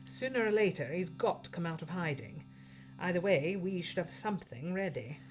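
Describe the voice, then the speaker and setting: A woman's voice: on the low side, animated, normal speed, dull audio, slight background noise, lightly acted. A woman playing a blunt, authoritative female spaceship captain in an audio drama. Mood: resolute. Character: tough, commanding female captain of a failing spaceship. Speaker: actor playing a ship's captain.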